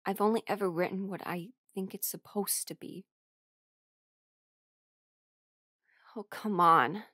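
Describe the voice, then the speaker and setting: A woman's voice: mid-range voice, fairly even intonation, moderate pace, sharp tone, dry recording. Exasperated, defensive young-sounding musician played by a woman, dry close sound. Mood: pained, resigned. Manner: emotional, exasperated, swearing. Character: defensive aromantic woman musician rejecting her bandmate's love. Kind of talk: audio roleplay drama monologue.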